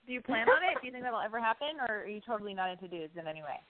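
A woman's voice: mid-range voice, sing-song, rapid-fire, dry recording. A woman hosting a casual chat, candid, joking and freely profane. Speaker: host. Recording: thin, phone-like narrowband sound.

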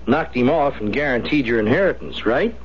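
An actor playing a cynical, flippant drinker in a radio drama, with a mocking, theatrical delivery. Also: male voice, mid-range voice, animated, fairly quick, close to an everyday voice. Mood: sardonic. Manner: sardonic, theatrical. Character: cynical, boozy male companion, mocking, flippant. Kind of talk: 1970s radio drama acting. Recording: dull, noisy 1970s radio recording.

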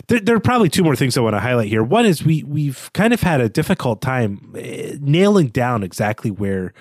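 A man's voice: medium pitch, sing-song, a little fast, no room sound, clean studio sound. A man co-hosting a podcast, talking through a literary analysis in a thoughtful, hesitant, conversational way. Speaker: podcast co-host.

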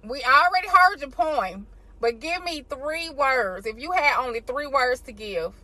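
A woman hosts a podcast interview in a relaxed, conversational way. Her voice is high and bright, and she keeps agreeing with her guest while she asks her questions. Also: a little slow, rough recording. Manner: affirming, lots of "yeah" and "I agree". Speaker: podcast host. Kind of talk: casual interview.

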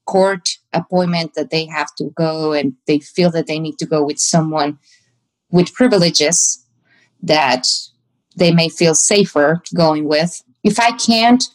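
A woman's voice: low-pitched, a little slow, rough recording. A woman pastor from Mexico with a Mexican Spanish accent, answering interview questions in a reflective way and sometimes searching for words.

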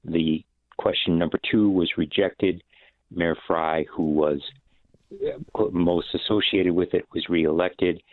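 A man political commentator, giving steady, assertive analysis on a radio panel. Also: low voice, slow pace, no echo. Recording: phone-line guest, dry.

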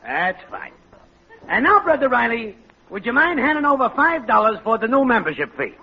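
Pompous, high-pitched lodge leader. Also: male voice, very animated, normal speed, full character voice. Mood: officious. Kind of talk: 1940s radio comedy acting. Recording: muffled, slightly noisy old radio recording.

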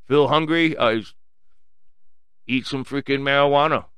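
A man's voice: medium pitch, measured pace, fairly bright tone, clean tone, no room sound. A man with a strong Long Island, New York accent, rambling casually to his listeners as a solo host in a blunt, profane, slangy way. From Long Island, New York, United States. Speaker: podcast host addressing listeners.

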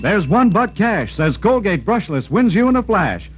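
High, animated American radio pitchman. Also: moderate pace, noisy background. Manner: punchy, salesman-like. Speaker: commercial announcer. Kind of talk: 1940s radio advertising pitch. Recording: muffled 1940s radio broadcast.

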